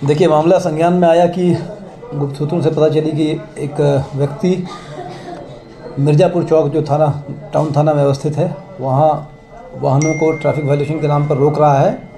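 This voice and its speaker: A man, a police officer, giving a measured official statement to the press. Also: a little high, mellow.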